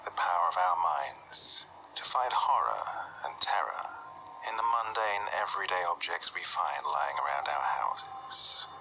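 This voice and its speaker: A man narrating a scripted horror story as host, in a hushed, deliberate, suspenseful manner. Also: low voice, expressive, noisy background.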